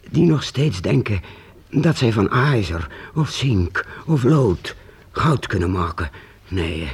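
Husky, earnest old master painter. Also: male voice, on the low side, a little mellow, heavily acted. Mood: halting, earnest. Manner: halting, repeats words, archaic formal address. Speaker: radio play actor. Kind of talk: Dutch radio drama acting.

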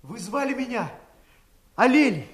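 An actor playing a brave, modest young gardener in love, gentle, earnest and dignified, in a fairy-tale radio play. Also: male voice, very high-pitched, big pitch swings, a little slow, slight background noise, heavily acted. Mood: shy, tender.

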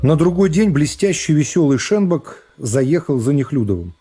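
A middle-aged man narrating a classic novel for radio in a measured, dramatic storytelling manner. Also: on the high side, big pitch swings, noisy background. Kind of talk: literary narration in a radio play. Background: music.

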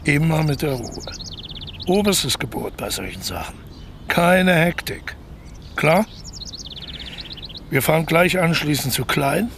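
An actor playing a slick, bossy con man in a German radio drama, smooth-talking and controlling. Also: fairly high pitch, animated, slow pace, pauses often, a bit bright, slight background noise, exaggerated voice. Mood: bossy, impatient.

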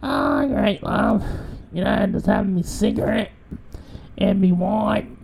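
A man's voice: high-pitched, flat delivery, slow pace, gravelly, darkish tone, a little hiss or hum, voice pushed up, heavily acted. A man voicing an older Australian woman with a smoker's voice, with a chummy, drawling manner and an Australian accent. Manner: chummy, drawling, calls everyone love.

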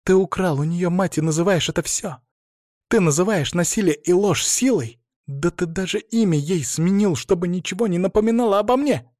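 A man's voice: mid-range voice, moderate pace, a little mellow, no echo, close to an everyday voice. A wronged middle-aged mother, as a male narrator puts her on in an audiobook drama. She is bitter and coldly defiant, with a hissing, accusing intensity. The sound is close and dry. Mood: fierce anger.

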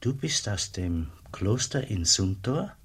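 Kindly border guard for radio play: low husky voice, simple, familiar, devout. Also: male voice, sing-song, takes it slowly, a bit bright, exaggerated voice. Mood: curious. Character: kindly, plain-spoken, devout border customs officer. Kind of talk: German radio drama acting.

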